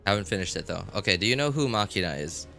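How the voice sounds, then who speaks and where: A man's voice: low voice, expressive, fast talker, gravelly, pushed and bright, soft background music. A man hosting a gaming livestream, chatting casually and putting questions to his viewers. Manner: addresses chat with repeated questions. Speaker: livestream host. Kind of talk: casual gaming stream chat.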